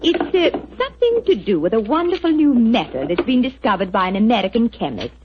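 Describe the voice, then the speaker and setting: An actress playing a sweet, eager young wife, who speaks gently and coaxingly in 1940s radio acting. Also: female voice, on the high side, sing-song, brisk, background noise. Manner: gentle and coaxing, hesitates when unsure. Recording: dull 1940s radio recording.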